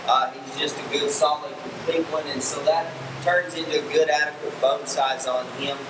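A man's voice: a little high, moderate pace. Man explaining goat grooming in echoey barn. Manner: relaxed, explanatory, with frequent ums and restarts. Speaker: livestock fitting instructor and show judge. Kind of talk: demonstration tutorial. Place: large indoor barn arena. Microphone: far camera mic. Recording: echoey barn from far off with air conditioning hum.

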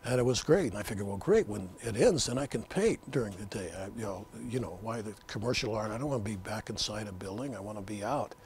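An older man from Colorado, a landscape artist, reminiscing in an interview in his art studio, with rambling, halting speech full of fillers and restarts. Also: fairly low pitch, expressive, a little mellow, a little hiss or hum. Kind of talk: reflective interview talk.

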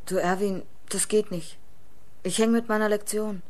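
An actress playing a defiant, exasperated young woman in a German radio crime play, with a brisk, snappish manner. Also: medium pitch, steady tone, heavy background noise, natural voice.

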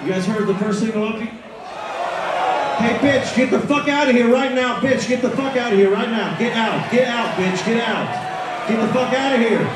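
A man, a country singer with a slightly raspy voice, doing crude, brash stage banter and shouting at the crowd from a concert stage. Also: very high voice, moderate pace, very noisy. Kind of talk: profane stage banter between songs. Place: large concert hall, on stage. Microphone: stage vocal mic, recorded from the crowd on a phone. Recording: distant, echoey phone recording of a loud concert. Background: loud band and cheering crowd.

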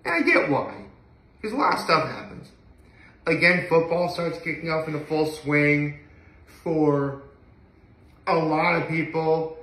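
A man's voice: on the high side, expressive, unhurried, long pauses, bright, forceful tone. A young man chatting casually to the camera in a rambling, hesitant way that often trails off, recorded at home a few feet from a phone. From upstate New York. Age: young adult. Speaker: daily vlogger. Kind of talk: casual solo chat to camera. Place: indoor living room at home. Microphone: phone camera a few feet away. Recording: roomy, echoey home recording.

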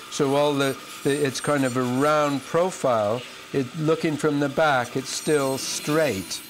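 An older man who carves shoe lasts, explaining his work calmly and step by step with frequent restarts while he cuts wood at a band saw in his workshop. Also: on the high side. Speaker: shoemaker and last carver. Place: workshop, indoors, next to a running band saw. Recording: clear power tool noise behind the voice.

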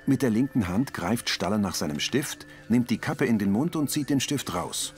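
A man reading scripted audio description in a measured, neutral way. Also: mid-range voice, animated, faint music behind. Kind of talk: scripted narration.

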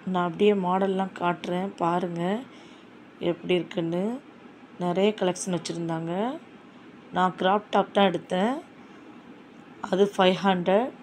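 A woman's voice: lowish voice, gravelly, a little mellow, slight background noise. A woman casually narrating her shopping trip in a chatty, enthusiastic way. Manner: chatty, enthusiastic, repeats "super super". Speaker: vlogger.

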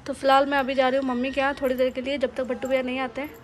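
A woman's voice: high voice, flat delivery, rapid-fire, slight background noise. A woman from Bangalore, India, narrating her day in a chatty way and talking straight to her viewers as friends. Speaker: vlogger. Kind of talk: casual vlog narration.

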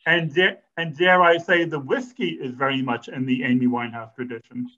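A middle-aged man hosting an interview over a video call from a room at home, halting and repeating words before his point. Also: medium pitch, steady tone, pushed and bright, highs cut off. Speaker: host. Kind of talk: casual interview. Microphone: webcam on a video call.

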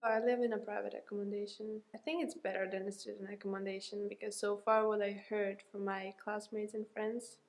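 A young woman speaks calmly and plainly about student housing. Her voice is mid-pitched with a gravelly fry at the ends of phrases. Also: a little fast, few pauses. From Slovakia. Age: young adult. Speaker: event management student. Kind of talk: documentary interview.

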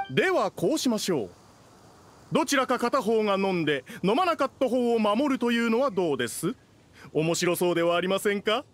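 High, jovial, showy event host. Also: male voice, a little hiss or hum. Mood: coaxing. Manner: salesman-like, polite, theatrical. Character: jovial, persuasive male festival host pushing drinks. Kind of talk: Japanese game voice acting.

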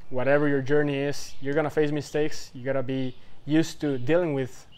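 A man's voice: medium pitch, little pitch movement, moderate pace, a little mellow, clear, resonant tone. A man in his twenties giving advice in casual talk to the camera, rambling and loose, full of fillers and asides. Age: 20s. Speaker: vlogger giving life advice.

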